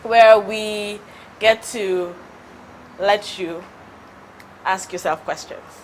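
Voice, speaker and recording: A playful Kenyan woman hosts a studio talk show, pitching questions and joking with her guest. Her voice sits mid-range, with a faint hiss of noise beneath it. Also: extremely slow, low-quality recording. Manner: playful, lively, mixing in Swahili phrases. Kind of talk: casual interview chat.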